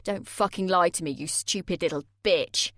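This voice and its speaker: Lady voicing a mean controlling guy, low and hissy, dry sound. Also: moderate pace, no echo. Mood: menacing. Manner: low, menacing, hissing and shouting. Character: angry controlling young man, captor.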